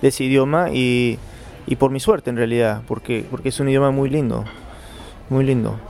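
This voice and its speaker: A man speaks in an unscripted interview, weighing his words. He hesitates often and repeats himself as he builds his answers. His voice sits at an ordinary middle pitch. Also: steady tone, moderate pace, creaky, a little mellow. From Peru. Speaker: interviewed novelist.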